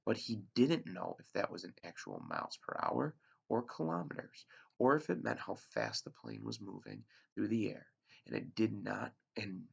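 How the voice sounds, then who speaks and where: Gravelly low-voiced teacher reading aloud. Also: male voice. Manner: unpolished reading with occasional stumbles and restarts. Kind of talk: read-aloud storybook narration. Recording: dull lo-fi recording.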